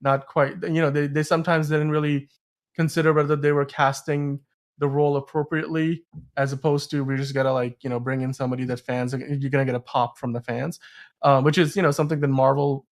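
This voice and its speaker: A man's voice: on the high side, level tone, fairly quick. A man hosting a casual movie discussion podcast, talking in a wordy, circling way with plenty of hedging. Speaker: podcast host.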